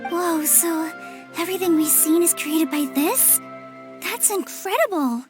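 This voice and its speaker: Very high-voiced earnest young girl. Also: a little slow, over music. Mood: curious, awed. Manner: earnest, thoughtful. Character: earnest, curious, sweet young girl adventurer. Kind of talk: video game cutscene acting.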